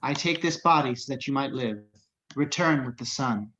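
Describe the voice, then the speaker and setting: A man voicing a powerful, solemn psychic creature with grave, measured pronouncements in a scripted table reading. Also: medium pitch, bright, forceful tone, close to an everyday voice.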